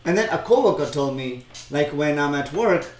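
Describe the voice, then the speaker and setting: A Kenyan woman co-hosting a live stream, explaining her points calmly in English and Swahili. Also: low voice, normal speed, roomy sound. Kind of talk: casual chat mixing English and Swahili.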